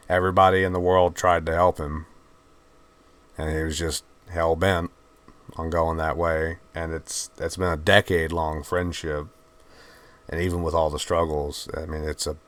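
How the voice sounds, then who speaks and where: A man with a mixed Virginian and Georgian Southern accent, hosting his channel and talking in a subdued, halting, heartfelt way. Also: very deep voice, fairly even intonation. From Virginia, USA. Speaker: channel host. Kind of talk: reflective personal talk to camera.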